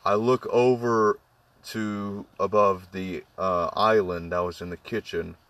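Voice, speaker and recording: A man with a deep voice telling a spooky personal story to friends, halting with many fillers and restarts. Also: steady tone, a bit bright, dry recording, recorded from a distance. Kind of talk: casual ghost story among friends.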